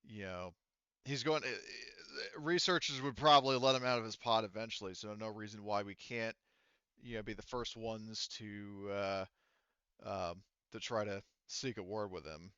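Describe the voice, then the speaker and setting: Halting male game master, clear but slightly muffled. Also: on the low side, steady tone, bright, forceful tone, no room sound. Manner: halting, rambling explanations. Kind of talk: narrating a tabletop roleplaying game.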